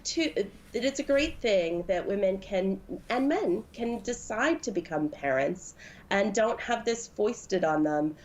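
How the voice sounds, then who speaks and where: A woman's voice: mid-range voice, animated, measured pace, a little mellow. A woman giving her opinion in earnest, unscripted talk. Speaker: speaker in a video being played.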